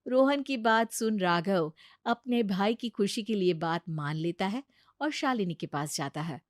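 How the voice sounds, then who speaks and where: A woman doing scripted narration for a cartoon story, with an even storytelling delivery. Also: mid-range voice, measured pace, dry recording, studio quality.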